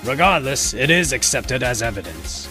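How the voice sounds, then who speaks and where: A man playing a pompous old courtroom judge with a gravelly, formal delivery in an audio drama. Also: medium pitch, sing-song, moderate pace, over loud music, lightly acted. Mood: bemused, ruling. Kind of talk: fan audio drama voice acting.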